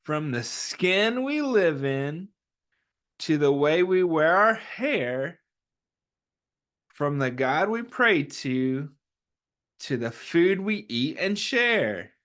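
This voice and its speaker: A man's voice: fairly high pitch, big pitch swings, very slow, long pauses, a bit bright. A man hosting a children's story time, reading aloud and chatting to young listeners in an encouraging way, often asking questions and answering them himself.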